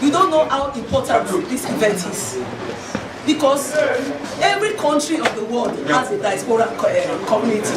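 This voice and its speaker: A woman with a Nigerian accent and a croaky voice gives a formal speech as an embassy representative. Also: fairly high pitch, very animated, moderate pace. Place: noisy echoey gathering. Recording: echoey, far mic, loud crowd noise.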